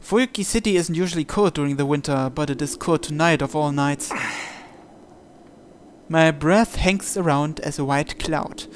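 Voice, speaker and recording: A man's voice: on the high side, normal speed, slight background noise. A man reading a visual novel aloud as first-person narration for a playthrough. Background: game music.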